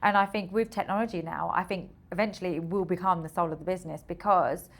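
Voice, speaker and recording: A woman's voice: lowish voice, fairly even intonation, fast talker. A woman speaking casually as a founder being interviewed, telling things as stories and acting out quotes. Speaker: tech founder interviewed as guest.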